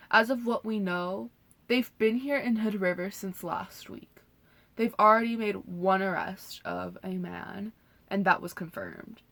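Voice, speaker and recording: Young woman vlogging to camera, lots of vocal fry, earnest and reassuring, kinda rambly. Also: medium pitch, fairly bright tone. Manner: earnest and reassuring, with loose filler-heavy phrasing. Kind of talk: casual direct-to-camera talk.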